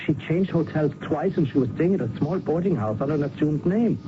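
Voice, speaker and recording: A man playing a formal, evasive Danish lawyer with measured courtesy in a radio mystery drama. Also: a little high, lively intonation, music in the background, lightly acted. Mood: urgent. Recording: noisy muffled old radio recording.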